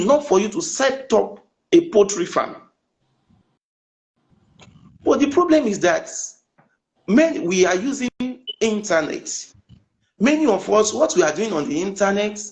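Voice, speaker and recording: A man's voice: high voice, vocal fry, low-quality recording. A Nigerian man with a Nigerian accent, a politician guest in a heated panel debate, who talks insistently over the host.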